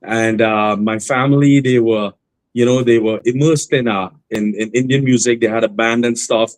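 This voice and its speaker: A man, a guest singer on a remote call, telling his story in a relaxed, rambling way with many fillers and restarts. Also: mid-range voice, normal speed, dry recording, rough recording. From Trinidad and Tobago. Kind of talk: casual interview storytelling.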